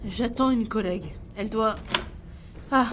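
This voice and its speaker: An actress playing a brisk woman police interrogator in a French audio drama, pointed and matter-of-fact. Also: fairly high pitch, noisy background, lightly acted.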